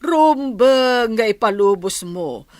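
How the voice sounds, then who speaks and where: A woman's voice: on the high side, lively intonation, slow pace, a little hiss or hum. A woman with an Ilocano Filipino accent playing a scheming, falsely sweet stepmother in a radio drama. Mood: insinuating, coaxing.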